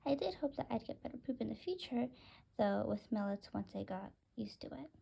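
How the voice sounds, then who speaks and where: A woman's voice: on the high side, lively intonation, brisk, gravelly, mellow, slightly rough, full character voice. A woman voicing a small, picky pet bird who mutters possessively in a children's story. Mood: wary, grudging. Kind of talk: children's story read aloud.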